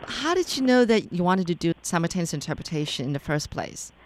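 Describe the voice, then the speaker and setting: A woman hosting an interview, chatty and quick to affirm her guest. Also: on the low side, very animated. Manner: chatty, lots of affirming backchannels. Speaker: interview host. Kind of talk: casual interview chat.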